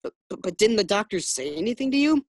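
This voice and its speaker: A man's voice: sits high, sing-song, fast, dry recording, clean studio sound. Man acting a swooping, flustered, boastful young husband. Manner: stammering when flustered. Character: flustered, boastful expectant husband, naive and excitable. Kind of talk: old-time radio sitcom acting.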